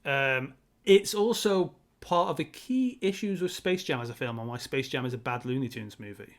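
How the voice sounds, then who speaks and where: A man's voice: medium pitch, expressive, normal speed. A man co-hosting a casual film discussion, speaking in an analytical, emphatic way and wandering off into side points. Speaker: podcast co-host.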